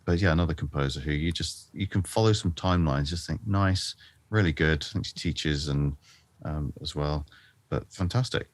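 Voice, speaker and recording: Very deep-voiced male host, dry sound. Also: normal speed, no room sound. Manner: friendly, easygoing questioner. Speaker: interview host, mixing engineer. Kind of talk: casual interview chat.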